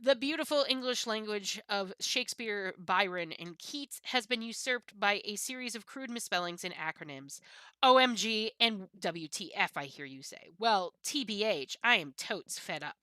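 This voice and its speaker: A woman's voice: mid-range voice, animated, normal speed, sharp tone, dry recording. A woman reading a book aloud as a haughty, misanthropic pampered cat, pompous and disdainful, with theatrical emphasis. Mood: indignant.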